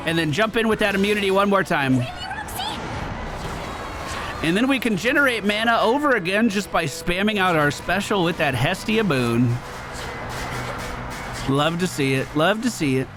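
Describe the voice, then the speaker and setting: A man hosting a gaming video, giving upbeat running commentary on his own play. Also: high voice. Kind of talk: gameplay commentary. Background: video game music.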